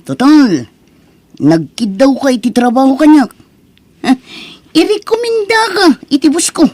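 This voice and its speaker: A woman's voice: high-pitched, very animated, a little slow, a little mellow, no room sound. A woman acting a confident male friend who recruits and tests, in a persuasive radio-style drama manner.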